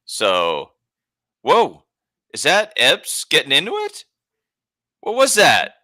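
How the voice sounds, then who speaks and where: A man with a very bright, lively voice, talking slowly and reacting to a football match. The sound is close and dry. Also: on the high side, no echo. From New York. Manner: laid-back, laughs often, animated reactions. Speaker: co-host of a fan watchalong. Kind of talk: casual match watchalong chat.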